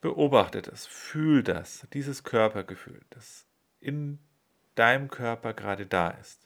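A man leading a guided body-awareness meditation in a slow, calm, soothing way. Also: mid-range voice, gravelly.

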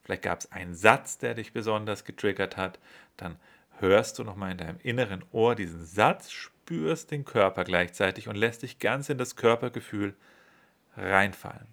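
A man leads a guided meditation with slow, calm instructions. His voice sits a little low. He speaks in a steady, soothing way. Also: creaky.

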